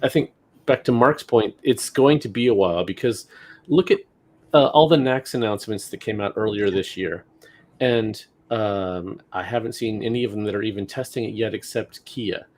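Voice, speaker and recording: A man's voice: on the low side, moderate pace. A man co-hosting a podcast, talking in a casual, conversational commentary style. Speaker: podcast co-host.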